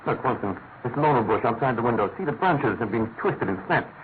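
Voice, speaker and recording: An actor plays a British male detective in a classic radio mystery, brisk, probing and sure of his authority. His pitch moves in lively swings through clipped, deliberate questions. The sound is narrow as a telephone, with a faint layer of noise. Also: natural voice. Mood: brisk, keen. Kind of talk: classic radio drama acting. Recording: noisy, narrow-band old radio recording.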